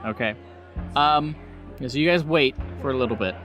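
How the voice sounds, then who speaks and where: A man's voice: medium pitch, moderate pace. A man running a tabletop role-playing game, improvising narration and explaining rules as game master. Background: music.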